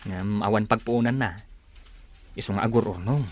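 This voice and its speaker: An actor with a Filipino accent playing an earnest young son in a radio drama. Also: male voice, lowish voice, moderate pace, lightly acted. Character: earnest young son discussing savings plans.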